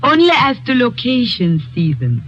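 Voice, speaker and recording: A woman's voice: a little high, sing-song, normal speed. An actress playing a cold, scheming female killer in a 1950s radio drama, silky and mocking. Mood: smug. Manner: silky, mocking, then shrieking in panic. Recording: dull old radio broadcast.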